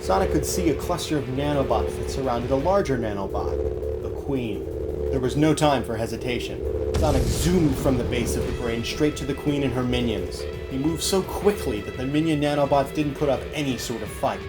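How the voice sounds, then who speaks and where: A man's voice: mid-range voice, normal speed. A man reading a scripted adventure story as an audiobook narrator, in a dramatic, earnest way. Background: music.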